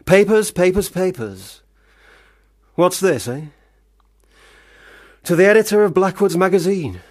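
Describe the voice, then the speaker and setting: An actor playing a troubled, self-doubting young man who drinks, theatrical, swinging between loud protest and muttering in a radio play. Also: high voice, animated, a little slow, no echo, studio quality. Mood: rambling, anxious.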